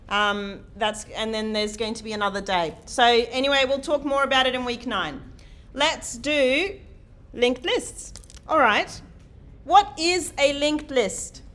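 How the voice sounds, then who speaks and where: A woman lecturing in a big hall, her voice pitched a little high with a sharp, bright edge. She projects loudly and talks in a chatty, self-deprecating way, full of "um"s. Her sound drifts as she walks away from the lecture mic. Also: a little slow. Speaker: university lecturer. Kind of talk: live lecture to a large class. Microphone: lecture microphone, sometimes off-mic while walking.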